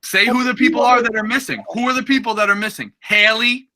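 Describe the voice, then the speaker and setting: A man's voice: extremely high pitch, fairly even intonation, fast, pushed and bright. A man teaching middle school, bantering casually and playfully with students in informal, slangy talk.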